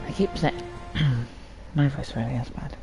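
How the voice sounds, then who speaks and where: Hoarse, phlegmy man chatting over game music. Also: medium pitch. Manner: rambling, apologetic, with throat clearing. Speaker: gaming channel host. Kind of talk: casual gameplay commentary. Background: video game music.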